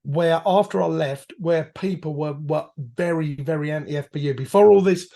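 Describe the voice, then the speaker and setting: A man, hoarse from a cold, a guest reminiscing about his years as a firefighter in rambling, self-correcting talk. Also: on the high side, moderate pace, warm tone. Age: 50s. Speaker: podcast guest, retired firefighter. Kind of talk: reflective conversational storytelling.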